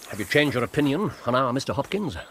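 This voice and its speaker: An actor playing a shrewd, composed English detective in a radio drama, speaking in a brisk, urbane, articulate way. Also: male voice, medium pitch, big pitch swings, fairly bright tone, a little hiss or hum. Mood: wry, brisk.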